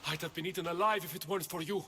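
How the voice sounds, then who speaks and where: An actor playing an earnest, indignant politician of ancient Greece in a video game. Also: male voice, high-pitched, steady tone, very fast, pushed and bright, slight background noise. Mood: grateful. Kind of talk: dramatic video game acting.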